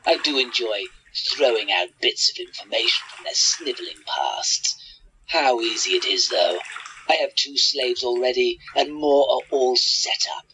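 A vain, scheming radio-play villain who savours his cruelty. His delivery is theatrical and unhurried, with a bright, cutting edge and big melodic swings, over noise and sloshing bath water. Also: mid-range voice, heavily acted, rough recording. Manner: gloating, theatrical, drawn-out delivery. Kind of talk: radio drama acting.

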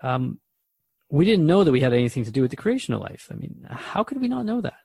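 A man's voice: a little high, very animated, quick pace, gravelly, a little mellow, husky, no room sound, clean studio sound. A man hosting a radio drama dialogue, asking questions and musing aloud in a hesitant, conversational way. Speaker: radio host in a scripted dialogue.

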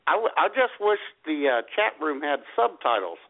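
A man's voice: a little high, big pitch swings, normal speed, gravelly. A man with an American accent co-hosting an internet radio show in casual, comic chat. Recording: thin, phone-like internet radio audio.

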